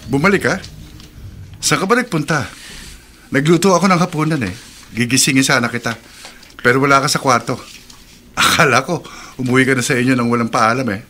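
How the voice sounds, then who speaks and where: An actor playing a friendly, curious island man talking to a mysterious guest in a Filipino horror radio drama, gentle and inquisitive. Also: medium pitch, brisk, pauses often, a little hiss or hum, close to an everyday voice. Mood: concerned, surprised.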